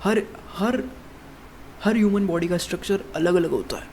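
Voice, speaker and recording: A man's voice: high-pitched, moderate pace, a little mellow, a little hiss or hum. A man of twenty-one giving a casual, rambling monologue as a fitness vlogger, calling the viewer "bro" over and over and restarting his sentences. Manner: rambling, addresses viewer as "bhai" constantly, many restarts.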